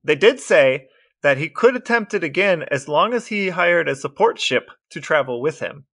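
A man co-hosting a podcast, telling a story in a lively, wry way in casual chat. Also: fairly high pitch, moderate pace. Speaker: podcast co-host.

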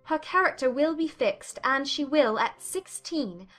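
An earnest, sensible young heroine, played by a woman, bright and high in pitch. She pleads plainly and seriously in a dramatized audiobook over soft music. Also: lively intonation, measured pace.

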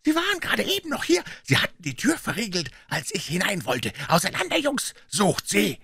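Shrieking, furious blind pirate leader. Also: male voice, high-pitched, huge pitch swings, fairly quick, husky, voice pushed up, heavily acted. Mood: barking orders. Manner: shouted commands and scornful cursing. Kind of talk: dramatic audiobook character reading.

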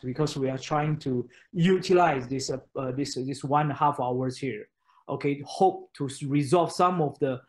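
A man in his thirties, a native Mandarin speaker, presenting a product on a video call from his home study, with many fillers and restarts. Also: medium pitch. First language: Mandarin Chinese. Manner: hesitant, many fillers and restarts, frequent "okay?". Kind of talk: online product webinar. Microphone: webcam on a video call.

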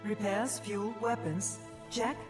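A female spaceship assistant in a game, voiced by a woman. She is formal, efficient and ready to obey, speaking at a middle pitch with music clearly behind her. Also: fairly even intonation, takes it slowly, natural voice. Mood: efficient, obedient. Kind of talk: scripted game voice acting.

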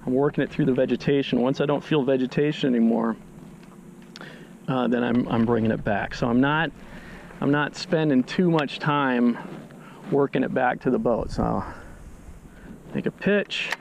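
A man's voice: mid-range voice, moderate pace, creaky, long pauses, dull audio, a little hiss or hum. A man on a fishing boat outdoors, casually explaining his technique step by step, often restarting his sentences. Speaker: fishing channel host. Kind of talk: instructional fishing commentary.

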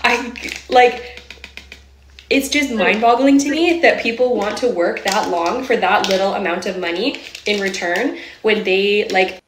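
A woman's voice: medium pitch, unhurried, background noise. Woman in her 30s ranting to her phone, casual and sweary, roomy echo on it. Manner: chatty, laughing, swears casually. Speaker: social seller in a played clip. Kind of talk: casual selfie-video rant.

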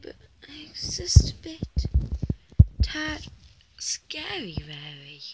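A woman's voice: high voice, very animated, drawn-out words, exaggerated voice. A woman voicing a timid, anxious female talking dog in an amateur pretend-play story, muttering hesitant, worried asides. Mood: anxious, fretful. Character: timid anxious female talking dog named Sprinkles, secretly hiding speech. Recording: very noisy home recording with clicks.

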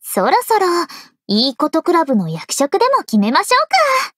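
Cutesy anime game girl: very high, animated, sweetly teasing. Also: moderate pace, dry recording, studio quality, full character voice. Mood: playful, teasing. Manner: polite, cutesy, coaxing. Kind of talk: anime game character acting. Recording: voice over loud game music.

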